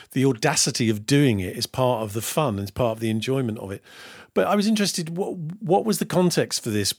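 A man's voice: medium pitch, dry recording, studio quality. A man hosting a music podcast, chatting casually about albums and laughing easily. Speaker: podcast host.

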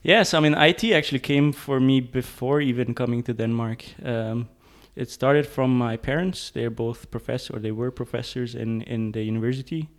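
A man in his thirties from Hungary, a tech co-founder, talking as a guest in a halting way with many restarts. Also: mid-range voice, steady tone. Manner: halting, restarts, searching for words. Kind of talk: casual interview chat.

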